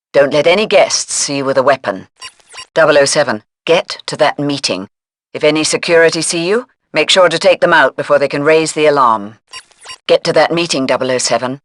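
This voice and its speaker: An actress playing a stern intelligence handler giving crisp, formal mission briefings in a video game. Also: female voice, low voice, lightly acted. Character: stern, businesslike intelligence handler directing a secret agent.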